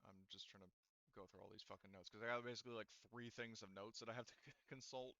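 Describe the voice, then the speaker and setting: A man's voice: fairly low pitch, steady tone, fast talker, vocal fry, pushed and bright, no echo. A man running a tabletop game as game master, rambling loosely and swearing casually over a dull lo-fi mic.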